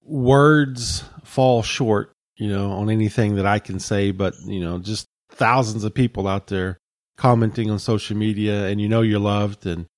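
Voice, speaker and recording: A man co-hosting a podcast, talking casually in an earnest, supportive way with slow, deliberate phrasing. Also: fairly low pitch, steady tone, dry recording, studio quality. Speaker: podcast co-host.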